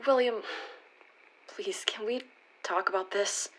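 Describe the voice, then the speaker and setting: A woman playing an anxious, earnest girlfriend in a radio play, reasoning haltingly as she pleads to save a failing relationship. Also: medium pitch, fairly bright tone, a little hiss or hum, poor audio. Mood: pleading. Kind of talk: radio play acting, naturalistic dialogue.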